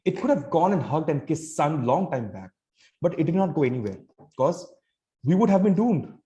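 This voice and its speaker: Male contestant, Indian accent, soft dark tone, stand-up style comic monologue. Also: a little high, big pitch swings, normal speed, dull audio. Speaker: storytelling contestant.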